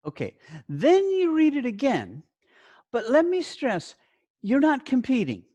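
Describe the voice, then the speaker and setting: A teacher leads a lesson with simple, step-by-step directions. The pitch moves expressively up and down while the delivery stays slow and deliberate. The sound is dry, with little room in it. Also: huge pitch swings, mellow, no echo. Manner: clear simple explanations with short instructions and check questions. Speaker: teacher training English language teachers.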